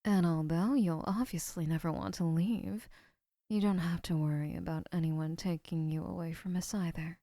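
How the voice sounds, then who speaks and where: An actress playing a gentle, obsessive young yandere woman in an audio roleplay, speaking in soft, hushed murmurs. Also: on the low side, steady tone, moderate pace, no echo, clean studio sound, lightly acted.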